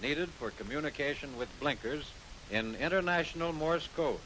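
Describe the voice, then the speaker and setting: A man's voice: expressive, normal speed, a bit bright, poor audio. An American man reading scripted narration for a 1940s military training film, instructing the trainee directly. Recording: hissy 1940s film soundtrack, rumbling noise.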